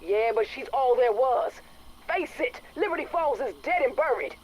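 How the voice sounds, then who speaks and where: World-weary loyal buddy, blunt, rough-edged, resigned, game radio drama. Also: male voice, very high-pitched, expressive, moderate pace, lo-fi sound.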